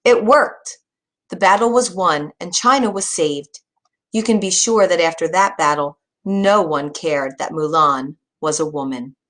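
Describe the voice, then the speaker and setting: A woman's voice: fairly low pitch, measured pace, a bit bright. Woman narrating a children's story.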